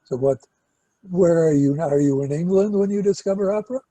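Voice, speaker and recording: An older man hosting a casual interview, hesitant and pausing mid-sentence as he asks questions. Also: on the high side, dark tone. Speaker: interviewer and host, record producer.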